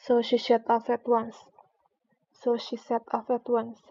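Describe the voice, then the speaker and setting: Female storybook narrator, higher-pitched with a crackly edge, slightly muffled lo-fi recording. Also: completely flat delivery, measured pace, dark tone. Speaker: streamer reading books aloud. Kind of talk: read-aloud storybook narration.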